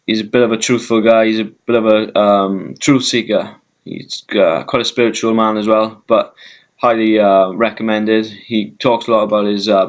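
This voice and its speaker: Brief: Welsh male vlogger, lowish voice, hesitant and rambling, dull lo-fi recording. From Wales. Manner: rambling, many ums and uhs, deliberately slowed down. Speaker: vegan YouTuber. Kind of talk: casual talk to camera.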